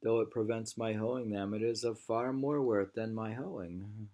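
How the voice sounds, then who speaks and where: An American man reading a literary book aloud in an unhurried, musing manner, often pausing to repeat or mull over phrases. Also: on the low side, fairly even intonation, warm tone, lo-fi sound. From New England, United States. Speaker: reader.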